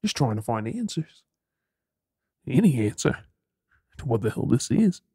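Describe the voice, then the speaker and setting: A man's voice: mid-range voice, big pitch swings, a little slow, warm tone, slightly rough, no room sound, studio quality, exaggerated voice. A man with an Australian accent playing a troubled, earnest werewolf boyfriend in an ASMR audio drama, hesitant yet intense. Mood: uneasy.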